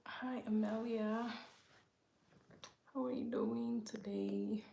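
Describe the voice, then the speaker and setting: Slow, husky-edged Ugandan-born woman streaming. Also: medium pitch, steady tone, roomy sound, slight background noise. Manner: slow, soft-spoken, greets viewers by name, often whispery. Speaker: livestream host. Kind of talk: casual livestream chat reading comments.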